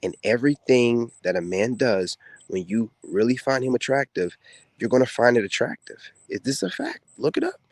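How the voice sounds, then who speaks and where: A soft-spoken man, a former soldier, talks casually to the camera to his women viewers in a relaxed, drawling way with many fillers. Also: medium pitch, normal speed, vocal fry, no echo. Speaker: content creator speaking to women viewers. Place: outdoors.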